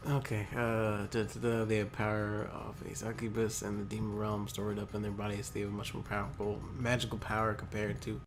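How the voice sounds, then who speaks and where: A man's voice: lowish voice, monotone, brisk, few pauses, noisy background. A man reading aloud in a casual, jokey way with asides, stumbling over the text and breaking into giggles. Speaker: co-host reading wiki entries aloud. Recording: music playing over the voice. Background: video game music.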